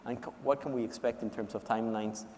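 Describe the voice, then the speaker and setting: A man's voice: medium pitch, steady tone, fast talker, darkish tone, slight background noise. A man moderating a panel, asking long, polite questions with many restarts. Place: conference hall panel stage. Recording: reverberant conference hall.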